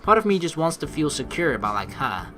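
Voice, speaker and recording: A man's voice: on the high side, sing-song, fast talker, bright, forceful tone. A man doing casual let's play commentary, thinking aloud in a rambling, informal way with some swearing. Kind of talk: casual game commentary with reading of on-screen text. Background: game music.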